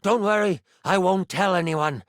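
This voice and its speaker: Man doing a high-pitched bumbling old gnome. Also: moderate pace, no echo, studio quality, lightly acted. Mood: worried, kindly. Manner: fretful, rambling, kindly. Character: tiny, bumbling old gnome groundskeeper, kind-hearted and fretful. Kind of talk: fantasy game character acting.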